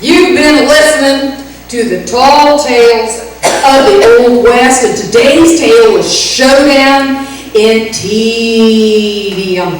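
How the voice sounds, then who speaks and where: A woman playing a brassy, rowdy cowgirl who narrates tall tales in a comic radio western. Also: high-pitched. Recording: echoey, distant stage recording, faint noise.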